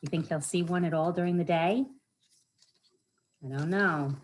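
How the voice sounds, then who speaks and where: A woman's voice: fairly low pitch, normal speed, slight background noise. A woman hosting a storytime for children, reading aloud and chatting in simple words, often putting questions to her young listeners. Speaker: library storytime host for children.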